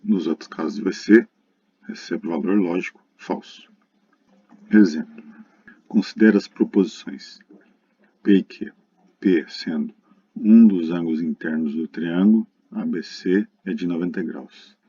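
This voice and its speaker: Brazilian man, deep husky voice, lecturer explaining step by step, speaking directly to students, muffled lo-fi sound. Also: unhurried, pauses often, warm tone. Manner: didactic, addresses students directly. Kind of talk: recorded math lesson, explanatory. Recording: dull lo-fi muffled recording.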